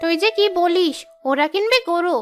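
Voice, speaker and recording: Cartoon role: outspoken young girl, very high, childlike and insistent, music underneath. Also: normal speed. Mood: boastful, haughty. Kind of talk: cartoon voice acting.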